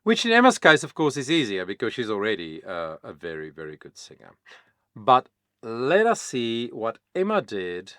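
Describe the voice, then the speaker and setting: A man whose first language is Afrikaans, a vocal coach explaining singing in a casual, chatty way with many pauses and fillers. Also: medium pitch, huge pitch swings, pushed and bright, smooth, dry recording, studio quality. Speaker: vocal coach, channel host. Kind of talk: casual reaction-video talk.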